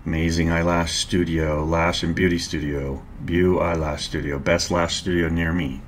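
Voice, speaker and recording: A middle-aged man narrating a screen-share tutorial, calmly explaining each step and click as a marketing instructor. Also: low-pitched, normal speed, a little hiss or hum. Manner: calm, explanatory, walks through clicks step by step.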